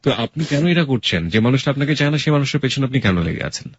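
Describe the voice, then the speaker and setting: A man's voice: mid-range voice, animated, fast talker, slightly rough, no room sound, highs cut off. A man with a Bangladeshi accent hosting a radio interview, giving blunt, emphatic advice and mixing in English phrases. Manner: mixes English words and phrases into speech. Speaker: radio host.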